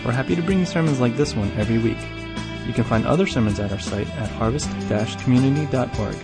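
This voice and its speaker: A man's voice: mid-range voice, fast talker. A man reading a scripted introduction for a recorded sermon series as its announcer. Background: prominent guitar music.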